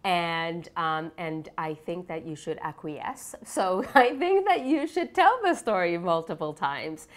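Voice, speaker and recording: Lower-pitched woman from Peru, reflective. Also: lively intonation. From Lima, Peru. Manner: halting, reflective, often seeks agreement with tag questions. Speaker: developmental psychology researcher being interviewed. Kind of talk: explanatory interview answers.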